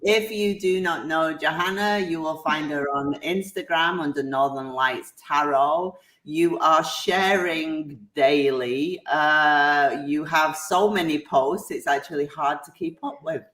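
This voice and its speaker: Slow-talking woman hosting a live video chat. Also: low voice, fairly bright tone, echoey room. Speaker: host. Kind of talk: live online hangout chat.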